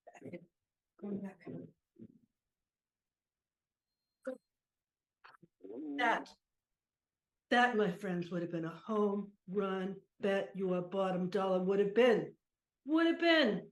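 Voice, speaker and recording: A woman's voice: medium pitch, lively intonation, measured pace, pauses often, lightly acted. Woman playing rambling, sentimental old ballplayer, echoey room. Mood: fond, muttering. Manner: rambling, muttering, groaning, talks fondly to objects. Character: cranky, sentimental aging ex-baseball pitcher named Willie, aching back.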